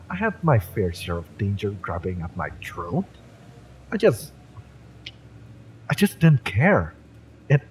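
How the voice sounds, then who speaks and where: A man playing a fiery, devoted mercenary in love, tough yet tender, in a romantic audio roleplay, with emphatic, halting delivery. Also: lowish voice, slight background noise, rough recording. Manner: impassioned, emphatic, halting delivery with breathy drops.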